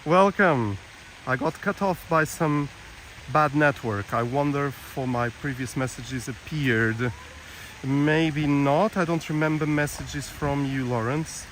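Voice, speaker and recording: A man from Portugal with a Portuguese accent, chatting casually and a little haltingly as he hosts a live walking tour. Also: on the high side, fairly bright tone, low-quality recording. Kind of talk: casual live vlog chat. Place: outdoors, walking through a village. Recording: clear outdoor ambience and traffic noise.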